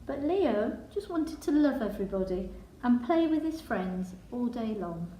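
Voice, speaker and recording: A woman reading a children's picture book in verse with a measured, dramatic storytelling delivery. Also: on the high side, very animated, dark tone, slight background noise. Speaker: storybook reader. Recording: echoey room.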